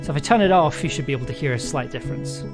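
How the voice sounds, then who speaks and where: A man with a raspy, throaty voice, talking casually and rambling through a livestream while producing an audio drama. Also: on the high side, animated, rapid-fire. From United Kingdom. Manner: rambling, many restarts and fillers. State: raspy, throaty voice after illness. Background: music.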